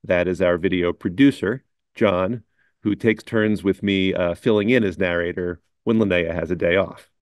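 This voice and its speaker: A middle-aged man who is a chief editor, talking on camera in a measured, wry way. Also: deep voice, steady tone, no room sound, clean studio sound. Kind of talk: casual on-camera chat.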